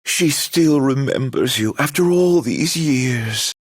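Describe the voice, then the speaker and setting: An actor playing a mocking, theatrical immortal villain in a video game, drawling mock-polite taunts in a husky, gravelly voice. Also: male voice, medium pitch, animated, slow, no room sound, studio quality, exaggerated voice. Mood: sinister, gloating.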